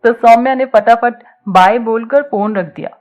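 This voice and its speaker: A woman narrating a scripted audio story in an expressive storytelling style, on a slightly muffled recording. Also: on the high side, measured pace.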